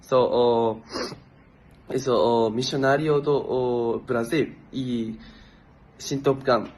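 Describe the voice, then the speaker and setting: A man in his twenties with a Japanese accent, a native Japanese speaker introducing himself in halting, hesitant words from a played-back video. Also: medium pitch, fairly even intonation, a little mellow, a little hiss or hum, rough recording. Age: 20s. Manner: halting, learner's speech with pauses. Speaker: missionary.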